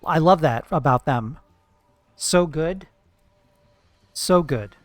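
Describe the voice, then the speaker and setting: A man's voice: a little high, expressive, slow, no room sound, soft background music. A man streaming live, chatting casually and profanely with his viewers while gaming, playful and teasing.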